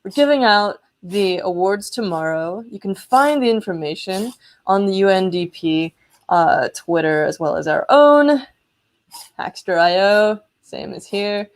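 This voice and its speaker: A woman's voice: mid-range voice, expressive, unhurried. Woman, mid-range voice, enthusiastic, exclaiming, casual tech host, chatting to viewers. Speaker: live stream host.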